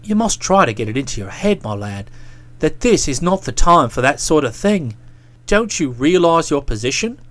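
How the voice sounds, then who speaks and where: A bluff, self-satisfied young gentleman author, as a woman reader puts him on, his voice dropped low and drawling. He lectures and explains himself at length with lively swoops of pitch. The recording is close and dry. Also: moderate pace, no room sound. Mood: lecturing.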